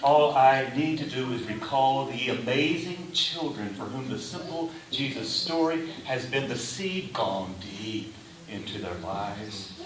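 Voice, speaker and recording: Guy preaching a sermon, short careful phrases w pauses, mic is far off, faint hiss behind him. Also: mid-range voice. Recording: roomy echo, distant mic, faint hiss.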